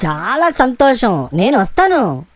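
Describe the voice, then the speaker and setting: Lively, high-voiced clever monkey. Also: female voice, slow pace, soft background music. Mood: friendly. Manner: animated, expressive. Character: clever, quick-witted, friendly monkey. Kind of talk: children's cartoon voice acting.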